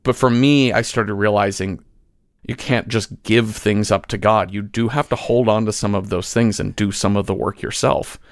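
A man in a casual, reflective interview as a guest, talking in a halting way as he thinks aloud. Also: on the low side, steady tone, dry recording, studio quality. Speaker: podcast guest, fiction writer.

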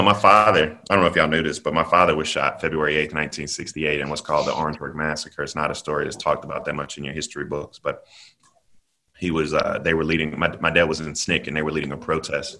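A man from South Carolina, speaking as a podcast guest. He talks in an earnest, measured way with frequent ums. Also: a bass voice, flat delivery, sharp tone. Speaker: guest political commentator. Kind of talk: podcast interview.

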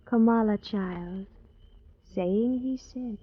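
A woman playing a gentle, devoted young woman in an old-time radio drama, speaking in stylized archaic phrases. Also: mid-range voice, slow pace, slightly rough. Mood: earnest, trusting. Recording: dull old radio recording.

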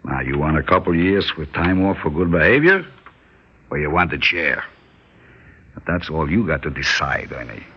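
A weary old New deli man, low and husky, with speech. He is wry and stubborn, his pitch rising and falling in a dull old radio drama recording. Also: expressive, full character voice. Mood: resolute. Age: older. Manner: wry, deliberate, resigned. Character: weary, stubborn old male delicatessen owner held hostage. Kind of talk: 1960s radio drama acting.

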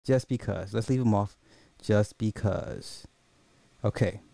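A man's voice: on the low side, takes it slowly, vocal fry, warm tone, no echo. A man hosting a casual, opinionated commentary podcast in slangy, informal speech, thinking aloud and often repeating his own phrases. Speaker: podcast host.

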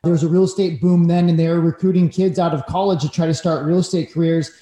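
A man from northern California tells personal stories in a relaxed, casual chat. His voice is mellow and on the higher side for a man. The room around him echoes clearly. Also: quick pace. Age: 40s. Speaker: podcast guest, real estate broker. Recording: roomy echo.

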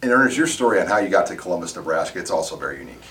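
A man's voice: deep voice, big pitch swings, very fast, fairly bright tone, slightly rough. A man hosting a sit-down sports interview, asking friendly, rambling questions. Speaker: sports reporter and host.

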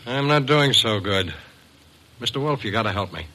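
An actor in a classic radio drama playing a worried, plain-spoken middle-aged boxing manager, earnest and slangy. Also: male voice, mid-range voice, expressive, normal speed, sharp tone. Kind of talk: 1940s-50s radio drama acting.

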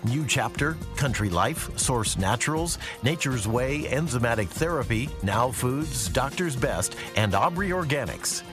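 A man reading an upbeat scripted radio advertisement. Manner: upbeat sales pitch. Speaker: ad announcer. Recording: ad with music bed.

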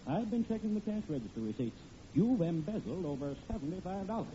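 A blustery boss, swinging pitch, muffled recording. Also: male voice, very animated, quick pace, dark tone. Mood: stern, indignant. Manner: theatrical, chuckling, blustery. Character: blustering, jovial middle-aged boss and doting father. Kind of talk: 1940s radio comedy acting. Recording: muffled, noisy vintage radio recording.

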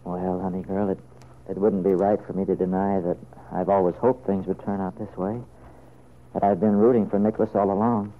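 An actor playing an anxious, tender middle-aged father in an old-time radio serial, speaking in a halting, earnest way. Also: low voice, flat delivery, natural voice. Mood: hesitant, gentle. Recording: muffled, noisy vintage radio recording.

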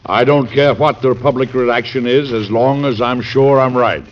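A man playing a dignified, cautious American president in old-time radio drama, speaking deliberately with some hesitation. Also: medium pitch, steady tone, over music, lightly acted. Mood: resolute. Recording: muffled vintage radio recording.

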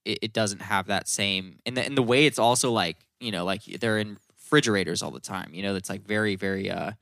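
Bright, clear-voiced man chatting casually. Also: lowish voice, fairly quick, dry recording. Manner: informal, profane, rambling explanations. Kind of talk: casual podcast chat.

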